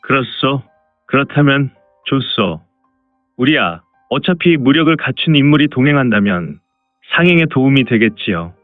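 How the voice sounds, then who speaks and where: A man's voice: mid-range voice, very animated, moderate pace, dry recording, lightly acted. A folksy caravan escort chief, played by a man with a mid-range voice, good-natured but bossy and teasing. He speaks in old-fashioned martial arts drama style, recorded dry and dull with music underneath. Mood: affable.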